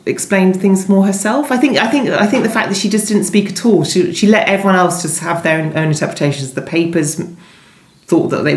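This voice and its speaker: Woman writer chatting in an interview, lowish voice, hesitant and rewording as she goes, bit echoey. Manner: hesitant, thinking aloud, often rephrasing mid-sentence. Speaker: guest novelist being interviewed. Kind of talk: conversational author interview. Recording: roomy, echoey sound.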